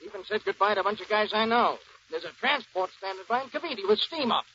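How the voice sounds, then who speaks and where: An actor playing an excited young mail carrier in a 1940s radio drama. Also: male voice, sits high, quick pace, slight background noise. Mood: breaking news. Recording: dull 1940s radio recording.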